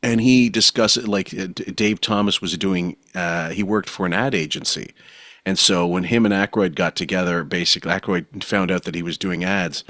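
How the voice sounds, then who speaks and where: A man's voice: low-pitched, steady tone, normal speed, fairly bright tone, no room sound, studio quality. A man hosting a podcast, talking casually and rambling, with many fillers and laughs. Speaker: podcast host.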